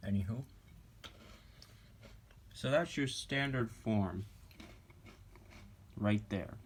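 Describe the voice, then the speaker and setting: A man's voice: fairly low pitch, a little hiss or hum. A teenage boy casually talking a classmate through a math lesson, offhand and joking as he writes on paper. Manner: slow, offhand and jokey, talking while writing and snacking. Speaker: student tutoring a classmate. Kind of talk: casual homemade math walkthrough. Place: indoor room at home.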